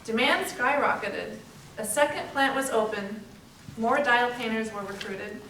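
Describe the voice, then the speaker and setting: A woman's voice: fairly high pitch, unhurried, pushed and bright. A woman playing a young, eager dial painter recalling her factory job in a readers theater piece. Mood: eager recollection. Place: library readers theater performance, distant microphone. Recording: roomy, distant mic, noisy stage recording.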